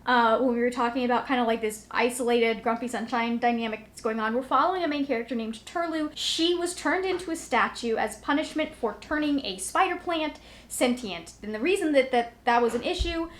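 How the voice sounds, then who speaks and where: A woman's voice: on the high side, brisk. A woman talking casually to viewers as a book vlogger, in a rambling, conversational, self-deprecating way. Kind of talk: casual chatty vlog, recapping to viewers.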